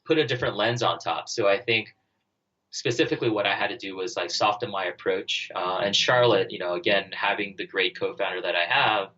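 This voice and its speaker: Bright-voiced low-pitched man thinking aloud. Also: lo-fi sound. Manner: halting, thinking aloud, heavy use of "like" and "you know". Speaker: interview guest, startup co-founder and former army officer. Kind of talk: conversational podcast interview answers.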